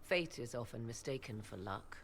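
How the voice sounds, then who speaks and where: An actress playing a proud, enigmatic sorceress mother in a fantasy game, speaking formal, archaic English with a measured, haughty manner. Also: rumbling low, expressive, slight background noise. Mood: solemn. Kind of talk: dramatic fantasy game dialogue.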